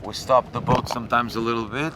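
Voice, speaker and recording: A man on patrol talks in an interview style. His voice is mid-pitched and a little away from the mic, with engine and wind noise around him. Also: flat delivery, fast talker, distant mic. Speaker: maritime coast guard officer. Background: boat engine and wind.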